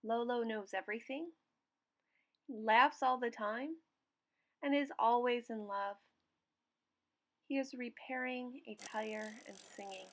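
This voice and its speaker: A woman reading a children's picture book aloud expressively, with deliberate pauses between phrases. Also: on the high side. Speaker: storybook reader.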